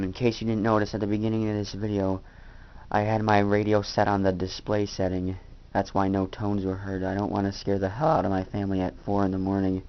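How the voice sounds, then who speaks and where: A tired man chatting casually to viewers as he narrates his own amateur video. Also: lowish voice. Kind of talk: casual vlog chat. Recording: dull lo-fi home recording.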